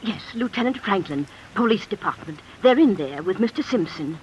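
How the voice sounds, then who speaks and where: Housekeeper actress: polite, concerned, medium-pitched female voice, faintly noisy old radio recording. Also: expressive, normal speed, darkish tone, dull audio, lightly acted. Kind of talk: 1950s radio drama acting.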